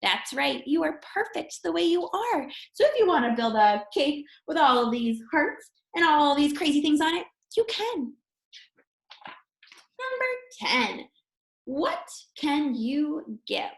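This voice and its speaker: A woman's voice: sits high, sing-song, a little slow, gravelly. A woman reading a children's picture book aloud expressively, like a teacher, with encouraging asides spoken straight to young listeners. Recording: roomy, echoey sound.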